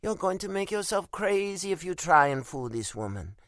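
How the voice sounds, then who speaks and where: A man's voice: sits high, very animated, moderate pace, a bit bright, no room sound, studio quality, pitched above the performer's own voice. A man voicing a feisty young woman. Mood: earnest. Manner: scolding, teasing, frank. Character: feisty, blunt young woman, like a little sister.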